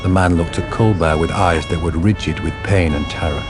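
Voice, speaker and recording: A man playing the narrator of a crime radio drama, delivering scripted story in a measured, deadpan manner. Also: low voice, music in the background, close to an everyday voice. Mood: grave.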